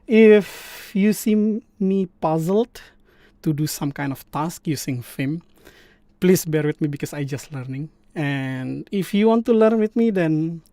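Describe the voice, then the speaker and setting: Indonesian guy hosting a coding stream, kinda high voice, lots of ums and restarts. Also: warm tone, dry recording, clean studio sound. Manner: halting, many fillers and restarts. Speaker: live stream host. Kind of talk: casual coding stream chat.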